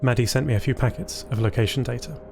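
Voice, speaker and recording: A male narrator tells a scripted first-person story in a middle-pitched voice. He plays a thoughtful artificial intelligence who cares about others. Music runs underneath. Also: fast, vocal fry. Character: thoughtful, caring artificial intelligence built for communication. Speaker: narrator of an audio fiction podcast. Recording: voice over a music bed.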